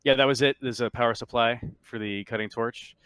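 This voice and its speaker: A man working as a game master, casually narrating scenes and explaining rules in a halting way as he searches for words. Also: mid-range voice, fairly even intonation, a bit bright, clean tone, dry recording.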